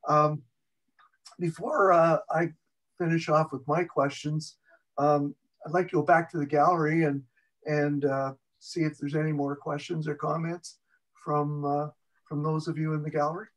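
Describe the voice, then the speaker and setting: A man's voice: fairly high pitch, flat delivery, normal speed, low-quality recording. A man hosting a casual interview, chatty and easygoing, asking questions and taking comments from the audience. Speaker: host.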